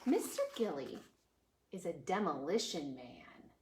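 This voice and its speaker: A woman's voice: sits low, sing-song, a little slow, background noise. A woman hosting a preschool storytime, reading a picture book aloud in a sing-song way and putting questions to young listeners. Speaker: children's librarian, storytime host. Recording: roomy, echoey indoor sound.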